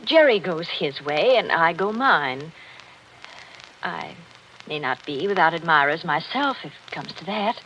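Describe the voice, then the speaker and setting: A woman's voice: sits low, animated, a little slow, a bit bright. A woman playing a jealous married woman, brittle, arch and genteel, hiding hurt behind sarcasm in a 1940s radio drama. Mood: wounded, brittle. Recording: noisy, dull 1940s radio recording.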